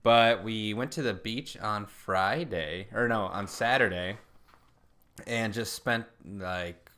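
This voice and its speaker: A man's voice: lowish voice, slow pace, fairly bright tone. A man in his twenties chatting casually as a podcast co-host, laid-back and self-deprecating. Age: 20s. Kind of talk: casual chat.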